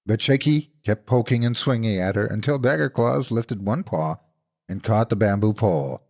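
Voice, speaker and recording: A man narrating scripted stories as a horror narrator, deliberate and dramatic in his delivery. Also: lowish voice, a little mellow. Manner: deliberate, suspenseful storytelling.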